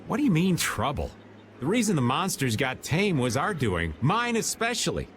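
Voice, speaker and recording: Wildly animated, cocky rogue. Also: male voice, a little high, normal speed, a little hiss or hum. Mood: cocky, exasperated. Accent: American. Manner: theatrical, complaining. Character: cocky, whiny middle-aged male rogue, boastful and slippery. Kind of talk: video game voice acting.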